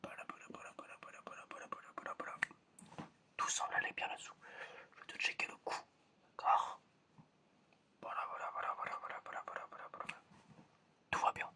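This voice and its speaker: An ASMR performer speaks almost entirely in whispers, with a bright, hissy edge. The delivery is a hesitant murmur that circles back over short phrases, as in a roleplay examination. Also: brisk, long pauses.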